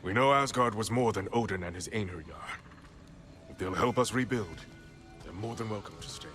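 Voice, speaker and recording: A man playing a low-voiced, pragmatic clan leader. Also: a bit bright, soft background music, close to an everyday voice. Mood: wry, welcoming. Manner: plain-spoken, measured, diplomatic. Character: steady, pragmatic male leader of a fantasy people. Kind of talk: fantasy video game drama.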